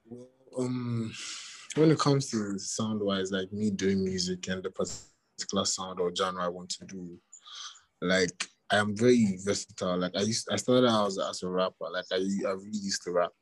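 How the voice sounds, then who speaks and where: A man in his twenties with a Ghanaian accent, a musician chatting in an interview, relaxed and often repeating himself. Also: sits low, normal speed, dry recording, low-quality recording. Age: 20s. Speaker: musician guest. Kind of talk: casual interview chat.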